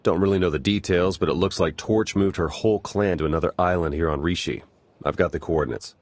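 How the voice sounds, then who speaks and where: An actor playing a wry, easygoing spy and hacker in a video game, talking in a casual, dry way. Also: male voice, deep voice, fast talker, dry recording. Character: wry, easygoing male spy and slicer ally.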